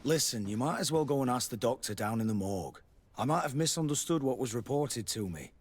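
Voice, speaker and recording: An actor playing a worldly, chatty army quartermaster in a video game, a man with a husky voice. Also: mid-range voice, expressive, fairly quick. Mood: apologetic. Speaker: military quartermaster.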